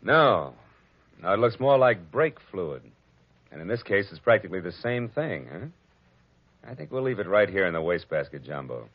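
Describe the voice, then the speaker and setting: An actor playing a wry, wisecracking hard-boiled private detective who narrates a 1940s radio drama. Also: male voice, deep voice, big pitch swings, normal speed, dry recording, heavily acted. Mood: tough. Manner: dry, wisecracking, clipped. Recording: lo-fi old radio broadcast with music.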